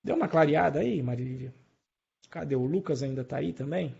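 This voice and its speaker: Brazilian man, programming teacher, husky and creaky voice, colloquial explanations, live online lesson, slightly muffled lo-fi sound. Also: fairly high pitch, a little fast, mellow. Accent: Brazilian Portuguese. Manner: colloquial, explanatory, checks in with listeners. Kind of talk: informal live coding lesson. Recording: dull lo-fi online stream.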